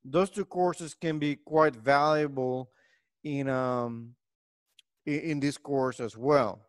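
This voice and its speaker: A middle-aged man from Puerto Rico, a native Spanish speaker with a Puerto Rican accent, lecturing on engineering in a halting way with frequent pauses. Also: mid-range voice, steady tone, dry recording, clean studio sound.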